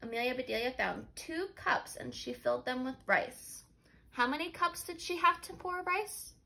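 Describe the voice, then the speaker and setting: Woman, high voice, animated melody, teacher's read-aloud style, instructive, expressive storytelling. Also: normal speed, gravelly, poor audio. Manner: expressive, instructive, asks and confirms answers.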